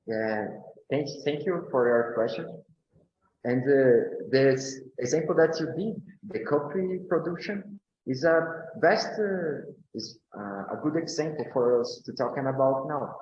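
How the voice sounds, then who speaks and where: A man from Brazil, speaking on a panel in slow, halting English with many fillers and restarts. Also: medium pitch, slight background noise. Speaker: agroecology speaker. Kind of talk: panel talk answering questions. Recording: roomy, dull recording.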